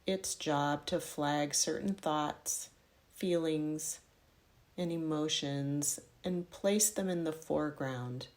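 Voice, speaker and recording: A woman with a low voice leads a guided meditation. She speaks very slowly, laying out each instruction in measured phrases. Her direction is gentle and instructional, in the style of yoga nidra.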